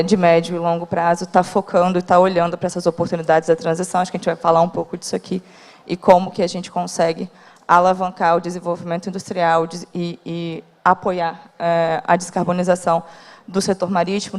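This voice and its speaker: A woman's voice: on the low side, little pitch movement, brisk, darkish tone. A woman presenting a port operation on a conference panel, formal and with many hesitant fillers. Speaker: port company representative. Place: conference hall. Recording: long hall reverb.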